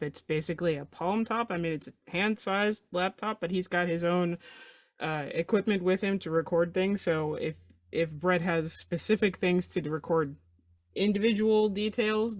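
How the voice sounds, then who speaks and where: A woman's voice: lowish voice, dry recording, studio quality. A woman playing a tabletop game, casually explaining her character's equipment and actions.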